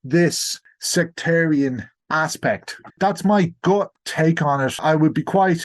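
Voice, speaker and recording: Guy, history expert chatting on a podcast, considered, close dry sound, mid pitch. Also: no room sound. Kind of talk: informal expert discussion, explaining history.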